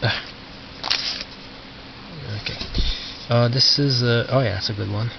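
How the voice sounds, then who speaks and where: A man talks through his collection in a casual, halting way, muttering asides to himself as he goes. His mid-pitched voice sits back from the mic in a dull recording with very noticeable noise. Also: steady tone, gravelly. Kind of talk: casual unscripted show-and-tell commentary. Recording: lo-fi, noisy, distant mic with clicks.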